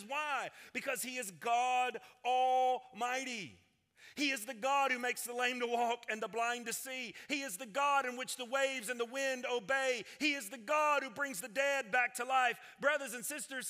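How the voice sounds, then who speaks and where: A man in his forties preaches from a large church stage on a headset mic, his voice high-pitched and bright. He swings from loud, ringing declarations to quiet, intense stretches. The sanctuary's long reverb trails every phrase. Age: 40s. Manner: preaching with wide gestures, swinging from loud proclamation to hushed intensity. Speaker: senior pastor. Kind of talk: sermon.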